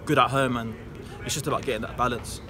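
A man's voice: medium pitch, fairly even intonation, a crowd in the background. A young man with an English accent, a football player answering post-match questions casually outdoors at night. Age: young adult. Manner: casual, quick, lots of fillers.

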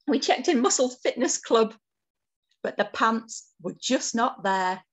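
Woman reading as a cheery, high-pitched octopus storyteller. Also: animated, unhurried, husky. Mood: cheerful storytelling. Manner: sing-song rhyming delivery.

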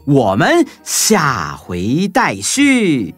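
Animated storyteller over soft music. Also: medium pitch, drawn-out words. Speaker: narrator. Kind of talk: scripted children's story narration. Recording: music bed behind voice.

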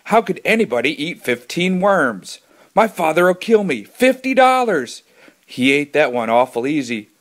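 A man's voice: fairly high pitch, lively intonation, moderate pace, close to an everyday voice. A man voicing a worried, complaining boy in a children's audiobook, fretful and given to exclaiming.

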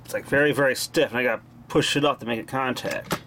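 A man's voice: mid-range voice, fast talker, fairly bright tone. A man giving casual, blunt, salty commentary as he does hands-on repair work. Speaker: hobbyist repairer showing a vintage machine. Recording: noisy amateur camera audio, mechanical hum.

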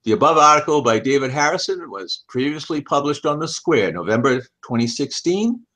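An older man in his seventies reads an article aloud as scripted narration, in measured, deliberate phrases. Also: fairly high pitch, very animated, bright, forceful tone. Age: older, about 70s. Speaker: reader of an article. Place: small home office, indoors. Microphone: headset.

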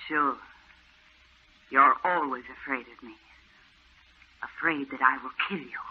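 An actress in an old-time radio drama playing an insane, spiteful wife, taunting and menacing. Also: female voice, extremely low pitch, breathy edge, full character voice. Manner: taunting, menacing, drawn-out delivery. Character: insane, spiteful dying wife, cackling and threatening. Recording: muffled, narrow old radio recording.

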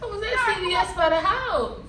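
A woman's voice: very high voice, lively intonation, a little fast, fairly bright tone. A young American woman talking casually while making prank phone calls. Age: young adult. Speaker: prank-call video host. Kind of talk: casual prank-call chat. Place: indoor room with cloth backdrop. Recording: echoey, distant mic, faint noise.

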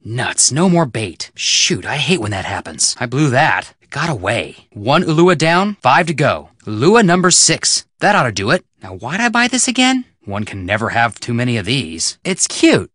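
A man's voice: medium pitch, very animated, moderate pace, hardly any pauses, fairly bright tone, dry recording, studio quality. A man playing an upbeat, curious young American amateur detective in a video game, breezy, teasing and casual. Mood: playful.

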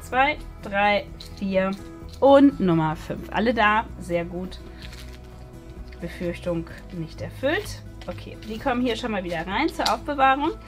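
A woman's voice: medium pitch, animated, unhurried. A woman chatting casually as she hosts a craft demonstration, thinking aloud while she works. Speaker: host of a craft haul video. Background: music.

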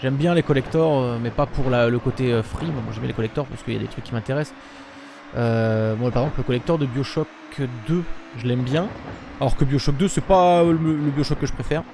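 Gravelly young man streaming casually. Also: mid-range voice, a little fast. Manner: rambling, lots of fillers. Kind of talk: casual live-stream chat. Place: home room with webcam. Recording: noisy stream with game sound.

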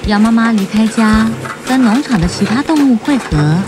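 A woman's voice: a little high, expressive, moderate pace, over music. A woman storyteller giving scripted narration for a children's tale.

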